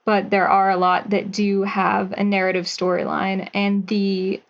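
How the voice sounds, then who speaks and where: A young woman in her twenties, a writer, answering slowly and thoughtfully in an interview. Her voice comes through somewhat dull and muffled, like a remote call. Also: mid-range voice, monotone. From Pennsylvania, USA. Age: 20s. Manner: measured and thoughtful, with some hesitation fillers. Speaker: writer and guest.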